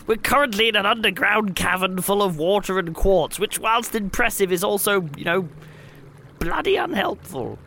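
A man's voice: sits high, animated, normal speed, creaky, sharp tone, slight background noise. A man improvising in-character banter as a player in a tabletop game, wry and sarcastic. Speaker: tabletop role-play player.

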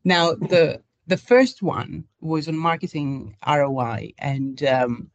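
A woman's voice: low-pitched, takes it slowly, no room sound, muffled recording. A woman hosting a conversational podcast interview, speaking in a hesitant way and often restarting her phrases.

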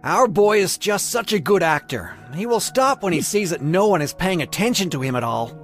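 A teenage boy's father as a man acts him out in an animated story. His voice sits high for a man, with earnest, measured delivery over background music. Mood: dismissive.

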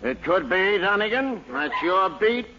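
An actor playing a gruff, blustering middle-aged police captain who barks questions in a 1940s radio sitcom. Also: male voice, high-pitched, big pitch swings, a little slow, muffled recording. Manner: gruff, barking interrogation. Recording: old radio broadcast, audience laughter, hiss.

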